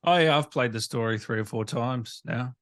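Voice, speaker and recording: Man, interviewer, mid pitch, dry close sound, casual, polite, brief. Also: normal speed, a bit bright, dry recording. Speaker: interviewer, host. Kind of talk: casual chat.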